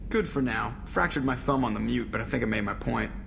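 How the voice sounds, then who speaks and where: A man's voice: medium pitch, brisk, vocal fry, noisy background. A man playing a wisecracking starship pilot in a video game, dry and sarcastic, with a North American accent. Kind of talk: video game cutscene acting.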